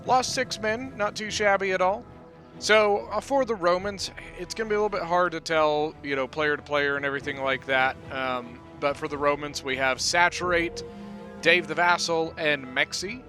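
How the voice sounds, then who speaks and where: A man hosting his gaming channel, giving relaxed, chatty commentary on a battle replay, peppered with "you know" and "uh". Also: a little high, normal speed, soft background music.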